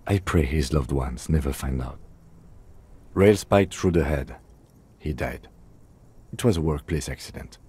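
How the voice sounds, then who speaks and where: A reserved male police lieutenant from a video game, low and mellow in voice. He talks in a measured, polite way with dry, deadpan earnestness. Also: husky, exaggerated voice. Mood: dry, rueful. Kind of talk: video game dialogue acting.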